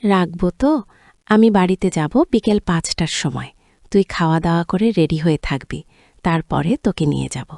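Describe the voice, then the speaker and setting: Woman doing an indulgent, husky-voiced father. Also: on the low side, moderate pace, darkish tone. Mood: reassuring. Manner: coaxing, reassuring. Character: indulgent, placating middle-aged father teasing his only daughter.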